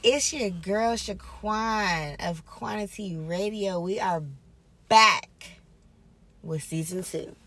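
A woman's voice: mid-range voice, slow, a bit bright. A woman hosting her own podcast in a casual, upbeat, reflective way. She repeats phrases for emphasis. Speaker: podcast host. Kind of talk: casual solo podcast talk.

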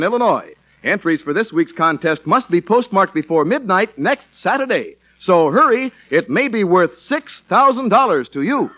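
A man announcing in scripted 1940s radio style, polished and emphatic. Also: high-pitched. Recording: muffled vintage radio recording.

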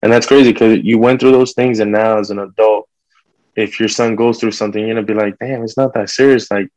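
A man's voice: fairly low pitch, steady tone, a little fast, darkish tone, no room sound, muffled recording. A man in casual conversation, talking informally with street slang.

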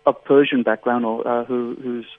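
Male translator, Farsi first language, phone-line guest, flat and hesitant delivery. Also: mid-range voice, moderate pace, dry recording. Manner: thoughtful, many fillers and restarts. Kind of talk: radio interview guest.

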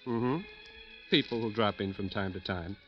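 An actor playing a pretentious, touchy bohemian would-be writer, affected and self-important, in an old radio crime drama. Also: male voice, fairly low pitch, normal speed, close to an everyday voice. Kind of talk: 1940s-50s radio crime drama acting. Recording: muffled old radio recording with music.

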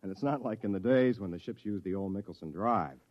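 An actor playing a proudly eccentric young misfit turned space settler, chatty and earnest in 1950s radio drama style. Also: male voice, on the low side, a little fast, no room sound, natural voice. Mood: eager, resolute. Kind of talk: 1950s radio drama acting, first-person narration. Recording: muffled old radio broadcast.

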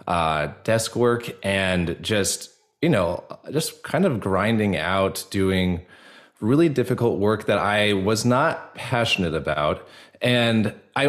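Low-voiced man chatting as a guest. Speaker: podcast guest. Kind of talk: casual personal storytelling.

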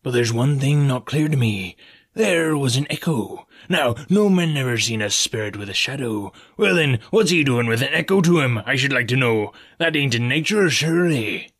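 A man voicing a bold, scheming male pirate leader who talks gruff old sailor slang. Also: medium pitch, expressive, normal speed, a bit bright, no echo, clean studio sound. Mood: defiant.

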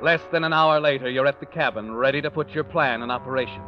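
A man's voice: mid-range voice, quick pace, natural voice. A man acting as a knowing, sinister narrator in 1940s radio suspense style, addressing the listener insinuatingly as you. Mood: knowing, ominous. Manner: insinuating second-person address. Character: knowing, sinister male narrator voicing a schemer's conscience. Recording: dull old radio recording with music.

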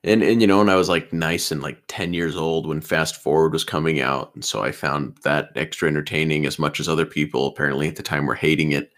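A man's voice: a bass voice, steady tone, a little fast, few pauses, fairly bright tone. A man in his twenties chatting on a podcast as co-host, eager and often repeating his first words. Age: 20s. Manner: enthusiastic, repeats words at starts of sentences. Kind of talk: casual chat.

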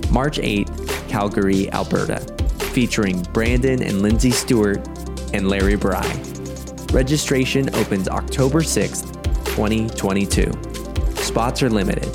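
A man giving a slow, dramatic scripted voice-over for an event trailer. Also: fairly low pitch. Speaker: trailer narrator. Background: music.

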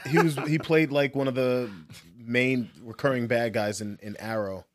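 A man with an average-pitched voice in a dry recording. He steers a casual podcast discussion in an informative way, supplying names and details as he goes. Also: fairly even intonation, normal speed, no room sound. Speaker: podcast host.

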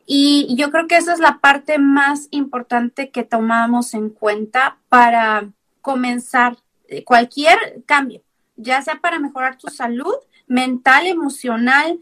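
A woman's voice: high voice, unhurried, a bit bright. A woman nutrition coach giving encouraging advice in a casual interview.

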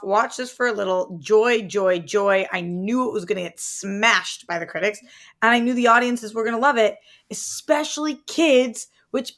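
A woman's voice: fairly high pitch, fairly bright tone. A woman co-hosting a film review show, talking casually and enthusiastically in an informal, slangy way. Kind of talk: casual chat.

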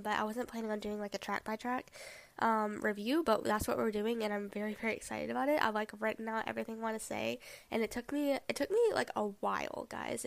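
Chatty young woman with a gravelly edge. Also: fairly high pitch, no room sound. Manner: chatty, many "like" and "um" fillers. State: a little sick. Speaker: podcast host. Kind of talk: casual chat, album review.

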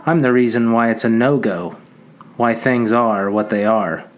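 A man's voice: medium pitch, unhurried, natural voice. A man reading as the taunting Lord of the Flies. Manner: mocking, coaxing then threatening. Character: menacing talking pig's head, sly and taunting.

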